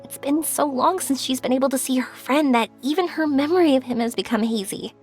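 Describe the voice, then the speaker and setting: An actress playing a kind, spirited young woman in a video game, speaking earnestly and gently over music. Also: high-pitched, a little fast, lightly acted. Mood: earnest, compassionate.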